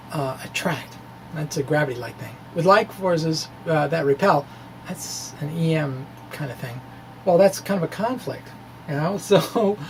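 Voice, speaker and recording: A man giving an informal explanatory lecture in a halting, conversational way, with asides. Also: sits high, slight background noise, low-quality recording. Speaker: physics blogger presenting derivations.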